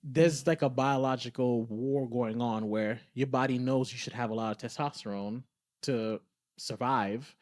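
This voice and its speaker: A man's voice: mid-range voice, moderate pace, darkish tone, dry recording. Earnest man talking on a dry, close podcast. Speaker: podcast co-host. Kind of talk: reflective conversational podcast talk.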